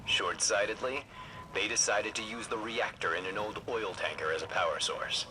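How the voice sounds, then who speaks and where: An actor playing a pragmatic scout in a video game, giving mission briefings in a dry, matter-of-fact way. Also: male voice, lowish voice, moderate pace, sharp tone, slight background noise, low-quality recording. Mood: focused briefing.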